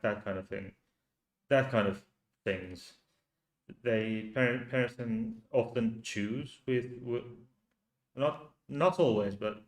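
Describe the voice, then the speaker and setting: A man from Finland with a Finnish accent, a native Finnish speaker, hosting a livestream and talking in slow, halting rhetorical questions to his viewers. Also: mid-range voice, echoey room. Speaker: channel host. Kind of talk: livestream commentary reading chat.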